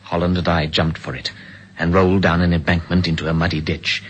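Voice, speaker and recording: An actor playing a resolute hero who also narrates, speaking in a clipped, commanding way in a 1950s radio drama. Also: male voice, low-pitched, level tone, fairly quick, a little hiss or hum, lightly acted. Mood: narrating. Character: resolute male hero and first-person narrator.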